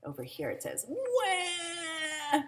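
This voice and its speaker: Female storyteller for a children's picture book: unhurried, animated, teacherly, slightly low pitch, roomy sound. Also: a little hiss or hum. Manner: animated, teacherly, drawn-out phrasing. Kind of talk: expressive read-aloud with asides to young listeners. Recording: roomy home recording with echo.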